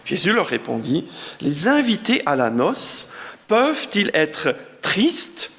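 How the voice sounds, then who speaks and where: Gravelly Swiss-German pastor in his fifties. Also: fairly high pitch, slow. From German-speaking part of canton of Bern, Switzerland. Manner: genial, chatty, self-deprecating, reads scripture with lively emphasis. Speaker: guest pastor. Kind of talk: sermon with Bible reading.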